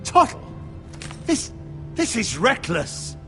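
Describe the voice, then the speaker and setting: An actor playing a blunt, hot-headed knight eager for battle in a fantasy video game. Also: male voice, extremely high pitch, huge pitch swings, slow pace, gravelly, fairly bright tone, soft background music, heavily acted. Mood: scornful. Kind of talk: dramatic video game voice acting.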